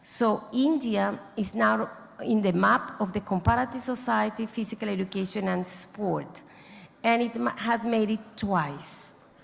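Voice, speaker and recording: A woman, not a native English speaker, giving a formal closing speech at a conference, halting and restarting mid-phrase as she thanks organizers and names board members. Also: mid-range voice, gravelly. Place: large conference hall. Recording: long hall reverb.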